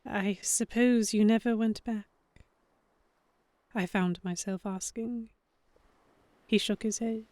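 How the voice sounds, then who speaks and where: A mid-range female voice from an actress narrates as the earnest heroine of a historical audio drama. She is measured, introspective and self-conscious. The sound is very dry, with no room echo. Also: mid-range voice, fairly even intonation, darkish tone, natural voice. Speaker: narrator and character. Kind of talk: scripted first-person audio drama narration.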